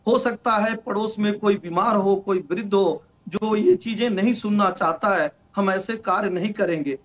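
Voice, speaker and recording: A high-pitched man speaks as a political spokesman. His delivery is formal and emphatic, and the remote feed sounds dull and narrow. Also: normal speed. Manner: emphatic, declarative. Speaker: political party spokesman, debate guest.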